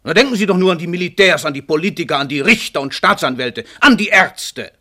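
An actor playing a suave, cynical, grandiose celebrity writer who is also a murderer, condescending and theatrical, in a radio play. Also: male voice, fairly high pitch, animated, fairly quick, bright, forceful tone, no echo, studio quality. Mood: cynical, emphatic.

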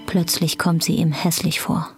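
A woman's voice: sits low, level tone, moderate pace. A woman reading scripted narration for a German radio drama. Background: guitar music.

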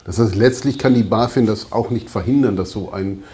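A man asks interview questions in a lowish, dark and mellow voice, a little halting. He is recorded on location in an echoey room with faint background noise. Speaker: interviewer, journalist. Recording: roomy, noisy on-location interview.

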